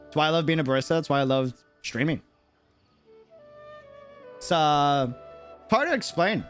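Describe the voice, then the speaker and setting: An American man with a fairly high, very lively voice commentates on a game stream. He talks in loose, chatty slang and reacts readily, with light music behind him. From United States. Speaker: live streamer. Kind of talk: casual game livestream commentary.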